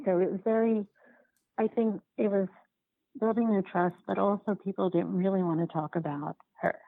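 A woman filmmaker answering interview questions in a halting, reflective way, often circling back to rephrase, over a phone-like line. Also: medium pitch, steady tone, gravelly, no room sound. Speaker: documentary filmmaker being interviewed.

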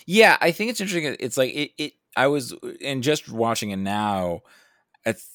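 A man's voice: mid-range voice, animated, normal speed, a bit bright, dry recording, clean studio sound. A man in a casual film discussion, thinking aloud and often correcting himself mid-sentence. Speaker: podcast guest or co-host discussing a film.

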